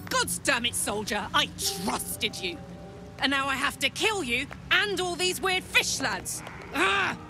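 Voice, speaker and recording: A woman's voice: sits high, very animated, a little slow, music in the background, heavily acted. An actress playing a brash, hot-tempered, irreverent warrior woman in a fantasy game, blunt and exclamatory. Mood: angry. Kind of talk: fantasy video game acting.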